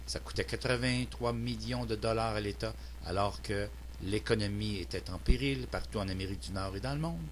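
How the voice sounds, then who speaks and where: A man's voice: fairly low pitch, brisk, hardly any pauses, slight background noise. A man with a Québécois French accent delivering a prepared political opinion monologue in a formal, earnest, declamatory manner. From Quebec, Canada. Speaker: citizen political commentator.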